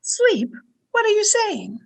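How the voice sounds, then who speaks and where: A woman's voice: extremely high pitch, very animated, slow pace, gravelly, exaggerated voice. A woman playing an anxious, domineering wife, demanding and fretful, in old time radio horror style. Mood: suspicious. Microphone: video call.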